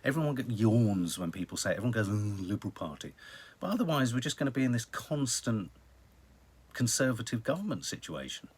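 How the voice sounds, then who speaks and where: A man's voice: mid-range voice, very animated, brisk, a little mellow, slightly rough. A British man hosting a casual live stream, chatting about the news in a rambling, wry way with dramatic emphasis. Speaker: online live-stream host. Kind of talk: casual news chat to viewers.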